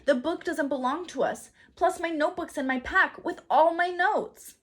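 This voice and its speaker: A woman's voice: very high-pitched, moderate pace, fairly bright tone, voice pushed up. A woman voicing an anxious, bookish young boy in a storybook, speaking with urgency. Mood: worried, insistent. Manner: urgent, self-coaching exclamations.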